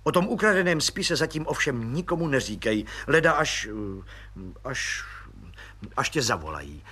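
A man's voice: a little high, sing-song, sharp tone, slightly rough, exaggerated voice. A man voicing a dry, businesslike lieutenant colonel who gives orders in a curt, matter-of-fact way.

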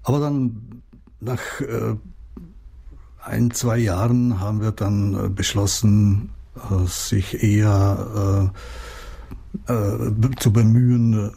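A man's voice: fairly low pitch, steady tone, slow pace, gravelly, pauses often, mellow, slightly rough. A middle-aged Czech-born man with a Czech accent, a writer answering interview questions hesitantly with many fillers and restarts.

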